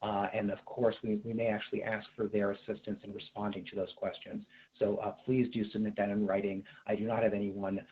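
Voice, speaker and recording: An American man hosting a formal question-and-answer conference call, speaking in measured official phrasing with some hesitation over video call audio. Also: on the low side, level tone, phone-quality sound.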